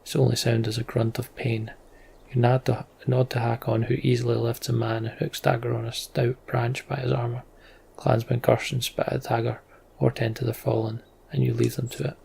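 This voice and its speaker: Scottish male let's play host, storytelling narration and casual commentary, very creaky voice at medium pitch. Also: flat delivery, normal speed, darkish tone, a little hiss or hum. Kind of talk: game commentary and dramatic narration of on-screen text.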